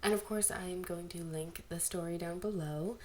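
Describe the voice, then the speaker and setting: Woman podficcer, kinda low voice, gushy casual slangy talk, bit of noise on the homemade recording. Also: steady tone, normal speed. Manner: informal, gushing, slangy. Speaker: amateur podfic narrator. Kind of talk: fan fiction reading and casual chat to listeners. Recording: amateur home recording with background noise. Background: background noise.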